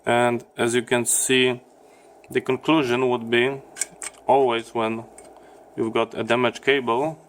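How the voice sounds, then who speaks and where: A man who speaks English as a second language, giving a halting, step-by-step explanation in a hands-on repair demonstration. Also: medium pitch, level tone, slight background noise. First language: not English. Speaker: electronics repair presenter.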